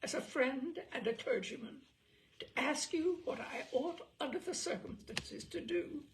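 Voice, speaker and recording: A woman voicing a distressed, self-pitying married man in a comic story reading, halting and melodramatic. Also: high-pitched, voice pushed up. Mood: aggrieved, anguished.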